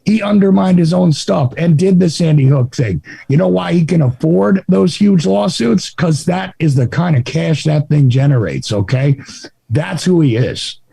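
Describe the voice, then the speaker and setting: A man from New Jersey with a Jersey accent and a raspy voice, talking in a sardonic, rambling way as a guest on a podcast. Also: a little high, normal speed, warm tone, no room sound. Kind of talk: casual podcast conversation.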